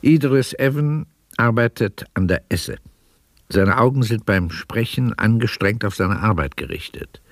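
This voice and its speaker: A man narrating a radio play in a measured, literary, descriptive way. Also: on the low side, lively intonation, dry recording, clean studio sound.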